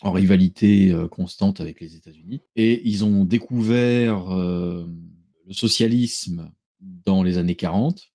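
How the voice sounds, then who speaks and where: A man speaking as a guest in a casual political discussion, measured and explanatory, with ironic asides. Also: low voice, dark tone. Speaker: guest commentator.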